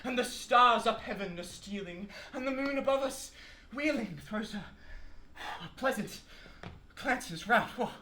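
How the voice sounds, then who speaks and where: A pompous, aggrieved Australian lecturer played by a teenage male student actor declaims in florid, oratorical style. The voice is bright and forceful. It rings in a roomy, echoing stage space, as in a theatrical monologue. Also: extremely high pitch, expressive, measured pace, heavily acted. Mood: flustered. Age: teens. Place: indoor school drama showcase, filmed performance. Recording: roomy echoing stage, faint noise, clicks.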